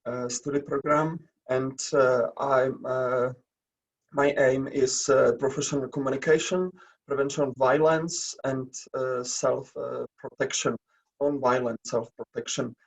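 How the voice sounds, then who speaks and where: A man from the Czech Republic with a Czech accent, presenting slides in a webinar in halting English full of pauses and restarts. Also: mid-range voice, flat delivery, creaky, low-quality recording. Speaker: webinar presenter, nursing educator. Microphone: video call.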